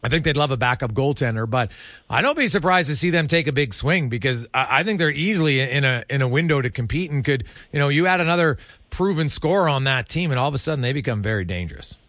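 A man's voice: mid-range voice, animated, fast, a bit bright, no echo. A man hosting a sports radio show in a conversational style, close on the microphone.

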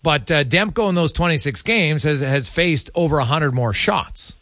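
A man hosting a sports interview, talking conversationally in halting, stat-heavy runs. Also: on the high side, fairly bright tone, no room sound, clean studio sound. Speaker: sports radio host.

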